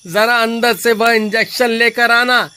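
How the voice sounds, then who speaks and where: Man playing a shrill, whiny greedy village milkman. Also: little pitch movement, a little slow, sharp tone, clear, resonant tone, full character voice. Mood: scolding. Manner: whiny, exclaiming, pleading. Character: greedy, cowardly village milkman, scolding then begging. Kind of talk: melodramatic cartoon story acting.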